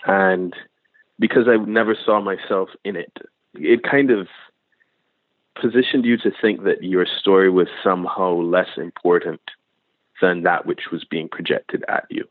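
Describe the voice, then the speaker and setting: A man from Barbados, a filmmaker, giving thoughtful, measured interview answers over a phone line. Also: sits low, fairly even intonation, no room sound. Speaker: filmmaker guest.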